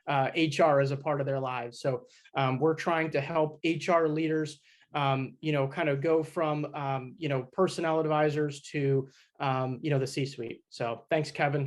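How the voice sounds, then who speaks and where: Relaxed man co-hosting a podcast. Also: on the high side. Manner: relaxed, with many fillers. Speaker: podcast co-host.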